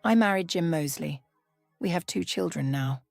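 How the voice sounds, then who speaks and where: A plain-spoken village mother from a period audio story acted out by a woman narrator. She is anxious and cautious, feeling her way through each sentence. Her voice sits low, with music running underneath. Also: normal speed, vocal fry, no room sound, studio quality. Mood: plainly content. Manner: careful, hesitant, plain.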